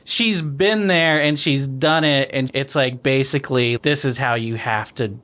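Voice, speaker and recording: A man's voice: mid-range voice, unhurried, fairly bright tone. A man co-hosting a casual beer-tasting podcast, rambling through his points with lots of restarts and laughter. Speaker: podcast co-host. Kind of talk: casual chat over beers.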